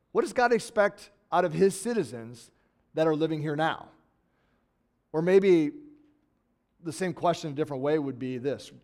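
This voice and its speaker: A man with an American accent preaching a conversational sermon, reading scripture aloud and explaining it earnestly. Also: on the high side. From United States. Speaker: pastor.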